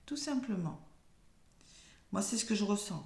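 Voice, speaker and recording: French woman reader, hoarse and softly spoken, gravelly fry, echoey room. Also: medium pitch, lively intonation, a little hiss or hum. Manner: soft-spoken, slow and measured when reading cards aloud. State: losing her voice, hoarse. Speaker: tarot card reader. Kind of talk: weekly horoscope card reading.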